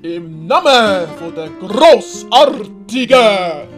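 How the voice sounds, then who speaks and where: A woman's voice: mid-range voice, very animated, very slow, faint music behind. A woman voicing a pompous, boastful male Spanish captain in a comic audio play, bossy and commanding, with a Swiss German accent.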